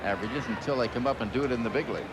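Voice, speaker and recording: Loud ballpark noise surrounds a man calling a baseball game on a 1990s broadcast. His voice is medium-pitched, and he talks through the action in a folksy, conversational way. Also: very fast. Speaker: baseball play-by-play announcer. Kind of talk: live radio play-by-play commentary. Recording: clearly noisy 1990s stadium broadcast. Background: loud ballpark ambience.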